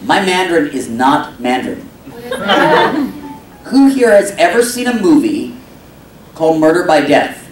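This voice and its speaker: A man's voice: a little high, very animated, a little slow, pauses often. A male voice actor on a convention panel, telling comedic stories and acting out the dialogue. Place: convention panel room with audience. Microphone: table microphone, recorded by far camera. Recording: roomy hall, distant mic, noisy crowd.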